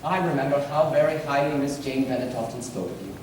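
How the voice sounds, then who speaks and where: A man playing an eager, agreeable young English gentleman in an amateur stage play, effusively polite and formal. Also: mid-range voice, fast talker, close to an everyday voice. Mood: affable. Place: stage in a theatre or hall, distant microphone. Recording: echoey hall from far off, clear noise.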